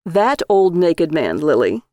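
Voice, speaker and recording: A woman's voice: low-pitched, sing-song, takes it slowly, mellow, no echo, clean studio sound, pitched below the performer's own voice, heavily acted. A woman voicing a childish, gullible, easily wounded husband in an audio story. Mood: calm disbelief.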